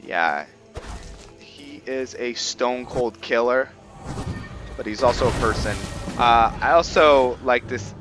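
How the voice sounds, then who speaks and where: A man giving casual, reflective commentary over a roleplay video game, thinking aloud in a halting way. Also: mid-range voice, music in the background. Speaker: gaming host.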